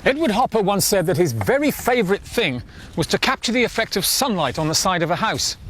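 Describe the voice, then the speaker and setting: A man teaching painting and presenting outdoors on a windswept coast, explaining at length with drawn-out emphasis. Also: sits high, big pitch swings, a bit bright, a little hiss or hum.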